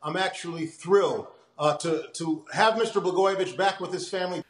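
A man's voice: high-pitched, brisk, reverberant. A middle-aged American man giving his opinion in an interview over a video call. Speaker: attorney, interviewee. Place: room at home.

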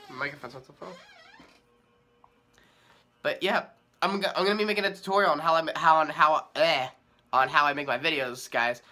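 A man's voice: a little high, sharp tone. A young man giving a rambling, hesitant vlog update while sick with a cold, his voice slightly nasal. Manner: rambling, hesitant, many ums and restarts. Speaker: vlogger.

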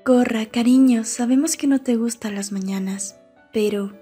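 A woman playing a gentle, concerned mother in an amateur comic fandub. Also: on the high side, moderate pace, slightly rough, soft background music.

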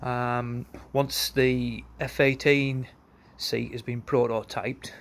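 A man's voice: mid-range voice, little pitch movement, takes it slowly. A man presenting a product in an informal walkaround, matter-of-fact and a little hesitant, recorded outdoors on a handheld phone. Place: concrete yard outdoors by outbuildings. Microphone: handheld phone behind the camera.

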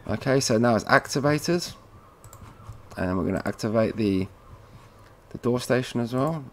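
A man with a mid-pitched voice walks viewers through software one step at a time. His delivery is casual and informal, like an installer showing the guys how it is done. He speaks as a voice-over from his computer. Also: lively intonation, moderate pace, a little hiss or hum. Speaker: tutorial presenter, installer.

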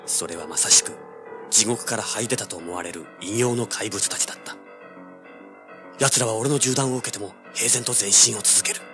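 An actor playing a cocky, ruthless young treasure hunter in a radio drama, brash and hard-boiled. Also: male voice, fairly low pitch, moderate pace, music in the background, lightly acted. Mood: tense, urgent. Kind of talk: dramatic radio drama acting with first-person narration.

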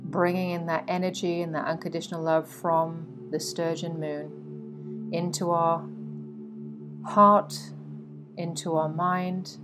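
Woman, meditation guide, slightly low pitch, soothing instructions, frequent pauses, music clearly behind the voice. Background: meditation music with singing bowls.

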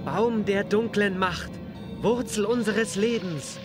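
A man's voice: high voice, takes it slowly, music in the background. A man voicing a solemn young alien villain in an anime audio drama, grave and theatrical. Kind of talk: German-dubbed anime radio play.

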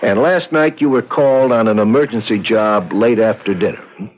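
An actor playing a skeptical, insistent police detective in a radio drama, questioning in clipped phrases with a husky voice. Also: male voice, lowish voice, normal speed, a little hiss or hum, natural voice. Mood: probing, skeptical. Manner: clipped questioning with tagged-on "huh?" and "hmm?". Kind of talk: 1970s radio drama acting. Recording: muffled old radio broadcast.

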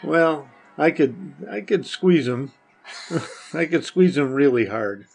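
A man's voice: fairly high pitch, expressive, takes it slowly, gravelly, darkish tone, husky, soft background music, rough recording. An older man, a podcast guest, answering questions in casual conversation. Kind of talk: casual chat.

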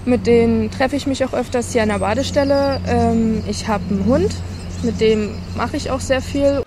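Teen girl, higher voice, friendly chatty self-introduction, noisy outdoor recording. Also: fairly even intonation, normal speed. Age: teens. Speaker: student. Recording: outdoor noise.

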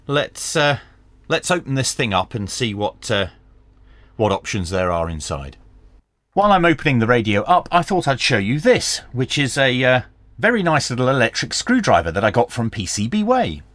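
Animated man giving a hands-on tech review. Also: medium pitch, moderate pace, no room sound. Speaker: radio review channel host. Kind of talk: hands-on product review and tutorial narration. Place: small indoor home workshop.

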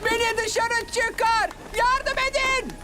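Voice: extremely high pitch, fairly even intonation, slow, very noisy. An actor playing a vain, manipulative young male cartoon villain with a haughty, mocking manner. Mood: panicked. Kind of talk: animated cartoon dub acting.

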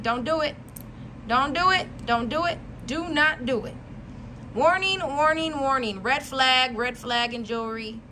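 American woman, somewhat high voice, worn-out, casual vlog chat, emphatic repetition, slangy and blunt, noticeably noisy recording. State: voice worn out, losing it. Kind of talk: casual chat to viewers, product review.

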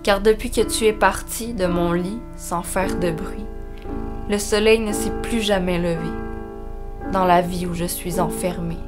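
A woman's voice: fairly low pitch, steady tone, unhurried, music in the background, close to an everyday voice. A woman with a Quebec accent playing a lovesick teenage girl, reciting her poetry as a solemn, melodramatic voice-over. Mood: mournful. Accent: Quebec French.

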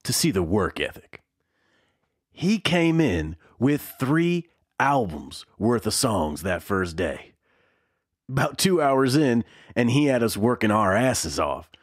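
A man's voice: medium pitch, big pitch swings, takes it slowly, dry recording, studio quality. A man playing a wry veteran musician, folksy and salty, reminiscing in a mock documentary interview. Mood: nostalgic, admiring.

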